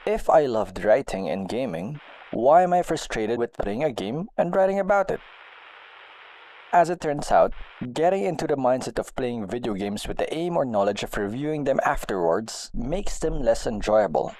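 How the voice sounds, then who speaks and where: A man reading a personal essay aloud as a video game blogger, in an expressive, deliberate way. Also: medium pitch, dry recording, poor audio.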